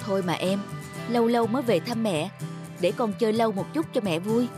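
A man's voice: high voice, a little fast, natural voice. A patient young cartoon father, acted by a man, mild and polite. He speaks in a soothing, deferential way over prominent music. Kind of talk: cartoon voice acting.

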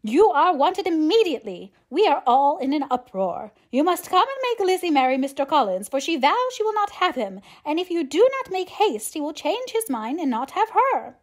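A woman's voice: sits high, sing-song, moderate pace, darkish tone, pitched above the performer's own voice. A woman voicing a flustered, pleading middle-aged mother in an audiobook, agitated, exclamatory and insistent. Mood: frantic. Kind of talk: audiobook character acting.

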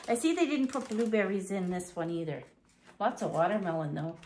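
A middle-aged woman from Canada chatting casually through her grocery haul in her home kitchen, reading prices aloud and thinking out loud. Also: mid-range voice, animated, a little fast, slight background noise. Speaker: vlogger. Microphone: fixed camera at table.

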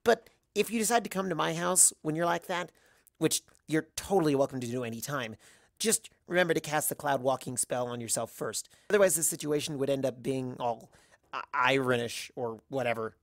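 A man's voice: mid-range voice, brisk, gravelly, dry recording, clean studio sound, close to an everyday voice. A man voicing a brash, casual young female pegasus pony with an offhand, cocky manner. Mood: offhand, friendly.

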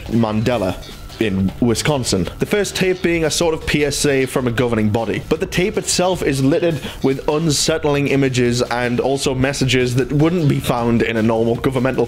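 A man's voice: mid-range voice, roomy sound. A British man hosting a video essay in casual scripted narration, with a chatty, jokey manner. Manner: jokey, chatty, self-interrupting.

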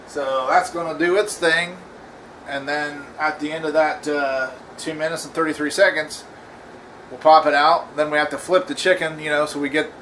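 A man hosting a casual home cooking demo in his kitchen, talking in a relaxed, rambling way with lots of fillers and restarts. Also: a little high, a little hiss or hum, low-quality recording. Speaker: cooking channel host.

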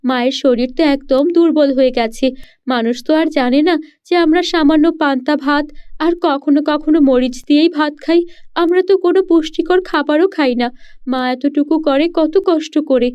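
High, earnest village girl, voiced by a woman. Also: moderate pace, a little mellow, lightly acted. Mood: earnest, hopeful. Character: earnest, hopeful poor village girl, caring daughter. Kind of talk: children's cartoon story dialogue. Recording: dull lo-fi sound.

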